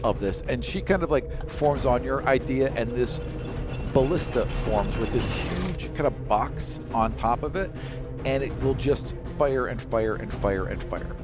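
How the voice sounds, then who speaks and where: A man's voice: medium pitch, lively intonation, a little slow, over music. A man running a tabletop fantasy game as game master, narrating and refereeing in an informal, agreeable way.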